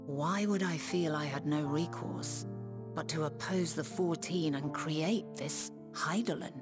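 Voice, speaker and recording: Low female voice, thoughtful ancient woman, formal, measured, resolute, game cutscene, loud music behind. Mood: pensive, questioning. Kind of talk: video game cutscene voice acting.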